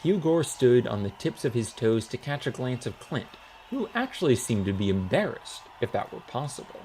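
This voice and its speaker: A man narrating a comic fantasy audiobook in a lively, scripted storytelling style. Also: mid-range voice, normal speed, slight background noise, rough recording.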